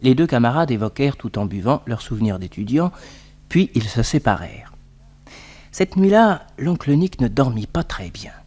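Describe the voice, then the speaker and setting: Male narrator for radio drama, mid-pitched, scripted storytelling delivery. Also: darkish tone.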